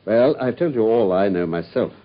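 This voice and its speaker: A man's voice: fairly low pitch, animated, normal speed, lightly acted. An actor playing a brilliant, self-assured Victorian detective who explains his deductions in a confident, lecturing manner, in a radio drama. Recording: dull lo-fi radio sound.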